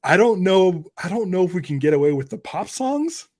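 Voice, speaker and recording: A man talking casually about TV and film, in informal, halting phrases. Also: sits high, sing-song, darkish tone, dry recording, studio quality. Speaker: podcast speaker.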